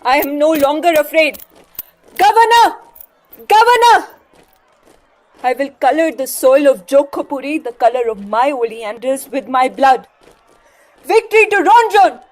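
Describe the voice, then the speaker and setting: A woman's voice: high voice, lively intonation, measured pace, pauses often. A woman playing a brave, devoted rebel heroine in a theatrical audio drama. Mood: defiant, fervent. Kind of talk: theatrical stage-play acting in an audio drama.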